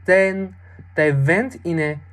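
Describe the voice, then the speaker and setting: A young adult woman telling a fairy tale as a student storyteller, in a deliberate, expressive way. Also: fairly low pitch.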